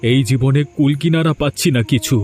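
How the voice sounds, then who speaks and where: A man's voice: medium pitch, fairly even intonation, a little fast, a little mellow, lightly acted. A man with a West Bengal accent voicing a poor, life-weary village father in a cartoon, lamenting melodramatically. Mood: despairing. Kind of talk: cartoon voice acting.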